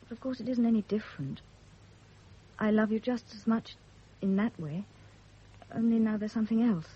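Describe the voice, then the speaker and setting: An actress playing a devoted, anxious young wife in a radio drama, earnest and apologetic. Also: female voice, a little high, normal speed, breathy edge. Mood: tender, wistful. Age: young adult. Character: anxious, devoted young pregnant wife, self-blaming and emotional. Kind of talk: period radio drama acting. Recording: dull, noisy old radio drama recording.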